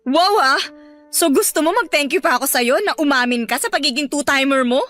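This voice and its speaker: A wounded young Filipino woman in a radio love drama. Her voice is high and sharp, full of sarcasm and accusation, with music underneath. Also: brisk. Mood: hurt, indignant.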